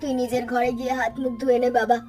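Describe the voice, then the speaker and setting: Fussy, doting cartoon village mother female performer, brisk, music underneath. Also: fairly high pitch, level tone, mellow, natural voice. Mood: fussing affectionately. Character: doting, fussing village mother of grown son. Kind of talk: Bengali cartoon story dialogue.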